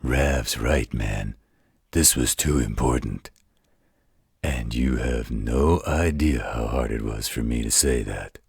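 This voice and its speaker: A blunt, wisecracking supernatural tough guy for a roleplay audio drama. His voice is extremely low and full of gravelly fry, very husky, with a laid-back, loyal air. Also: slow, darkish tone, no echo, studio quality, heavily acted. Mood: reluctant, explaining. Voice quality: gravelly, raspy.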